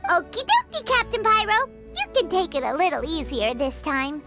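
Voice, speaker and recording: A woman voicing a tiny, childlike, cheeky fairy companion in a video game, in a squeaky, bubbly, exclaiming manner. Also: expressive, normal speed, loud music behind the voice. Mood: cheeky, upbeat. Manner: bubbly, exclaiming, speaks of herself in third person. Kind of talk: scripted video game cutscene acting.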